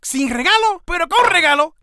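An actor voicing a vain, bossy, gleeful tsar in an exaggerated cartoon dub, with exclamations and drawn-out words. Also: high voice, very noisy.

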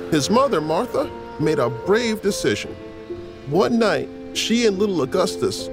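An actor playing a friendly man who tells history to children in a folksy, engaging way in an audio drama. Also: fairly high pitch. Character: friendly male teacher telling children saintly history.

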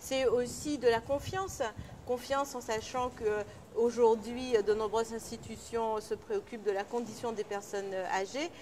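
A woman's voice: fairly high pitch, steady tone, normal speed, a little mellow, a little hiss or hum. A middle-aged woman official gives an interview at an exhibition, speaking formally with frequent fillers. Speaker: local council official. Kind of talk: on-camera interview. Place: indoor exhibition hall.

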